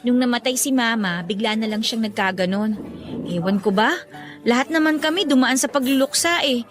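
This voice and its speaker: Actress as young working woman, high voice, earnest, dutiful, radio drama dialogue, faint music. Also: moderate pace, natural voice. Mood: weary, resentful. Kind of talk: Filipino radio drama acting.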